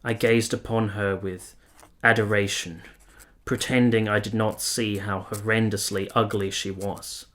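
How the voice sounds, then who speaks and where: An actor playing a lovestruck, conflicted nineteenth-century man in a radio drama, speaking in earnest, measured, literary phrases. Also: on the low side, level tone, a bit bright. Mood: solemn, confessional. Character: Giorgio, lovestruck, conflicted 19th-century Italian man, confessional narrator. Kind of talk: radio drama narration and intimate dialogue.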